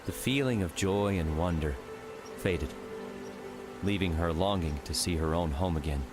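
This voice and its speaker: A man's voice: deep voice, unhurried. A man reading scripted fiction narration as an audiobook narrator. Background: music.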